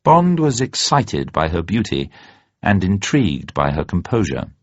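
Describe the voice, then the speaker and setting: Lively, low-voiced male narrator. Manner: measured, literary, expressive. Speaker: narrator, audiobook reader. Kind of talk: dramatic scripted novel narration. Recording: dull, roomy recording.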